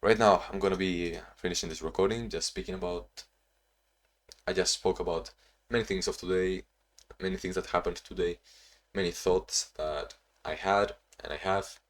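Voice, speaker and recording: A young man talking in a casual, reflective diary-style vlog, halting with frequent restarts and sounding a bit sleepy. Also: deep voice, fairly even intonation. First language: not English; Portuguese not first language either. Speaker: vlogger.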